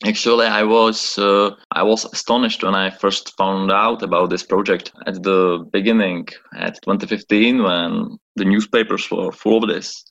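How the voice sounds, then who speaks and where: A man's voice: low voice, steady tone, moderate pace, vocal fry, hardly any pauses, a bit bright, muffled recording. A man from the Republic, a podcast guest who talks hesitantly and searches for words. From Czech Republic. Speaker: guest, chief of staff. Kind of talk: podcast interview. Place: office in Prague, on a call.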